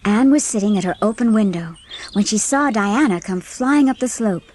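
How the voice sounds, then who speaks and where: A woman's voice: medium pitch, expressive, moderate pace, a little mellow. A woman reading a classic novel as an audiobook narrator, in scripted storytelling style.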